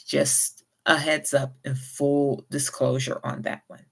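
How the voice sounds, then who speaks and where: Be a low-voiced Southern US woman giving encouraging advice. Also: little pitch movement, gravelly, a bit bright, husky. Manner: friendly, encouraging, unhurried speech. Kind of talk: casual advice vlog.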